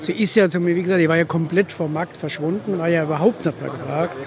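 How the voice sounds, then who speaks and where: Higher-voiced male vendor amid crowd. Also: fast talker. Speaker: record fair vendor. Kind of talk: spontaneous vox-pop interview. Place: large busy fair hall. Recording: busy crowd around the speaker. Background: crowd chatter.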